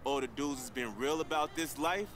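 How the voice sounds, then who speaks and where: A man's voice: medium pitch, moderate pace, a bit bright, lightly acted. Man acting a streetwise young American baller. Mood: cocky. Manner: slangy, cool, casual. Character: confident, streetwise young male basketball player. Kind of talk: video game cutscene acting.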